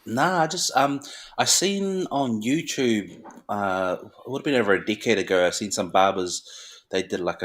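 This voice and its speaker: A man, a barber talking casually and humbly as a podcast guest, with frequent ums. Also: sits low. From New Zealand. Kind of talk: casual interview chat.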